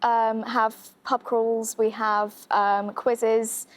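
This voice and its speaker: A woman's voice: fairly high pitch, level tone, extremely slow. Young woman chatting with fillers. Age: 20s. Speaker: quiz contestant, literature student. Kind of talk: answering a host's chat on a quiz show.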